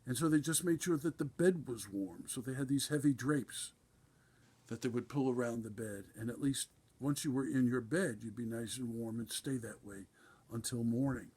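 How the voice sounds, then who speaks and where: A man playing a kindly Santa Claus with a husky voice, reading a storybook to children with gentle, folksy, explaining asides. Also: medium pitch, normal speed, natural voice. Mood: gently explaining. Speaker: guest reader at a benefit concert.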